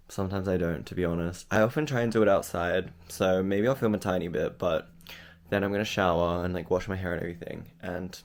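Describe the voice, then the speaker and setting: A young man with a raspy morning voice, chatting casually and rambling in a home vlog. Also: on the low side. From Australia. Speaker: vlogger. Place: bathroom at home.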